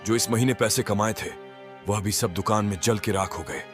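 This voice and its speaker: A man's voice: on the low side, fairly even intonation, normal speed, over music, close to an everyday voice. A man playing a humble, worried street food vendor and family man in a moral-story cartoon, speaking earnestly. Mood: despairing.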